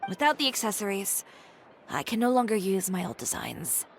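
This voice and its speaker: A woman's voice: mid-range voice, very animated, normal speed, heavy background noise. A woman playing a proud, composed, strong-willed young fashion designer in a video game, curt and self-assured. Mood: grim, resigned.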